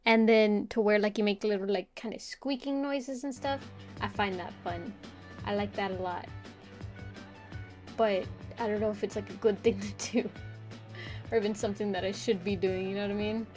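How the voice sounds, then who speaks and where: A woman's voice: mid-range voice, moderate pace, over music. A young woman chatting casually to her stream while drawing, rambling with lots of filler words and frequent giggles. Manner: giggly, playful voice play.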